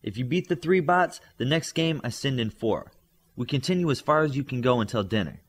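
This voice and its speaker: Stern coach played by a male narrator, authoritative and projected, swinging widely in pitch, audiobook drama. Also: lively intonation, brisk, gravelly, slightly rough. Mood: brisk, instructive. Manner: loud, clipped, authoritative. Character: stern, commanding male coach training young superheroes.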